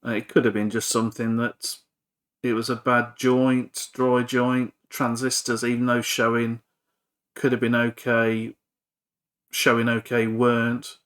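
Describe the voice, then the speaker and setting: A man's voice: medium pitch, completely flat delivery, a little slow, a bit bright. A man giving an informal, hesitant, rambling commentary on a repair at his workbench, with a self-effacing manner. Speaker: hobbyist electronics repairer and video presenter.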